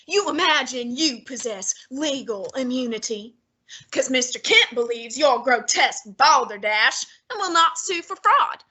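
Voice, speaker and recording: A fussy, heavyset older detective holds court in an old-time radio drama, played by a woman. He talks down to everyone in slow, high, bright tones, savoring each long word. His manner stays haughty and imperious throughout. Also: slightly rough, full character voice. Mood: lofty. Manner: haughty, fussy, lofty diction.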